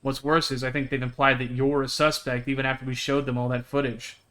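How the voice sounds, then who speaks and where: A man's voice: mid-range voice, flat delivery, brisk, bright, forceful tone, close to an everyday voice. A man voicing an earnest, determined young small-town woman who hunts cryptids in a visual novel. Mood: indignant, determined. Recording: game music behind the voice.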